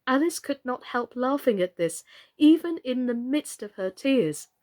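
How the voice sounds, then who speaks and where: A woman giving an expressive scripted reading of a story for children. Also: high voice, a little slow. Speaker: storybook reader, actress.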